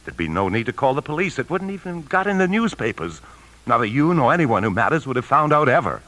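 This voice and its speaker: An actor playing a tense, desperate embezzling husband in a 1950s radio drama, speaking with urgent, confessional intensity. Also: male voice, mid-range voice, sing-song, quick pace, a bit bright, rough recording. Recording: old radio broadcast, faint music.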